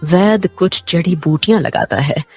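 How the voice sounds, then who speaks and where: A woman's voice: lowish voice, moderate pace, a little mellow, husky, soft background music. A woman narrating a scripted cartoon moral story as a storyteller.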